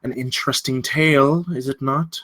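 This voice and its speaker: An actor playing a menacing, courteous gentleman villain in a script table read, telling his tale in deliberate, ornate, theatrical phrasing. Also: medium pitch, fairly even intonation, lightly acted. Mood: sly storytelling.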